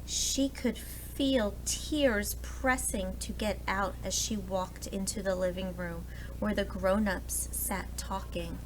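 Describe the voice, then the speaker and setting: A woman's voice: mid-range voice, fairly even intonation, slow pace, slight background noise, low-quality recording. A woman reading a children's storybook aloud expressively, like a preschool teacher addressing young listeners. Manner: explains words and asks listeners questions.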